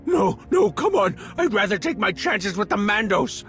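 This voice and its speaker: A man's voice: high voice, sing-song, moderate pace, a bit bright, soft background music. A man playing a cowardly, whining, self-serving slicer in a video game, stammering and pleading nervously. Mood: anxious bargaining. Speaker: captured comms technician informant. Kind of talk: video game cutscene acting.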